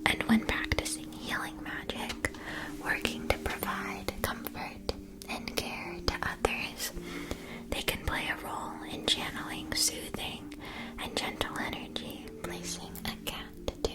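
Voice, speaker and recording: A woman playing a gentle, attentive witch shopkeeper in a scripted ASMR roleplay, whispering soft, measured, soothing explanations. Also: lightly acted. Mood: calm, attentive. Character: gentle, attentive witch school supply shopkeeper advising a student.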